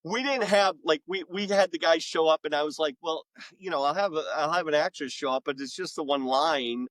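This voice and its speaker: A middle-aged man chatting as an interview guest, enthusiastic and emphatic, pausing and repeating words for effect. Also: a little high, a bit bright, dry recording. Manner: enthusiastic, emphatic storyteller with dramatic pauses and repetition. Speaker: author and voice-acting school director, podcast guest. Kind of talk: casual, animated interview chat.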